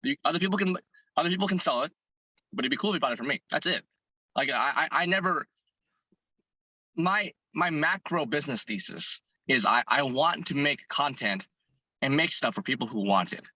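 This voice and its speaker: A hoarse man answers an interviewer earnestly, working his thoughts out aloud with frequent restarts. His voice is bright and forward despite the hoarseness, recorded dry and close with no room sound. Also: on the high side, expressive, a little fast, no echo, poor audio. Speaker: fitness content creator and business owner. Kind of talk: interview guest answering questions.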